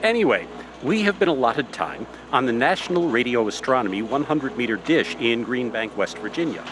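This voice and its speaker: Radio play role: affable, slightly hesitant male professor, played by an actor, animated intonation, mid-range pitch, explanatory. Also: fairly quick, noisy background, close to an everyday voice. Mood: eager, explaining. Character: affable male astronomy professor being interviewed by phone. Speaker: professor of astronomy.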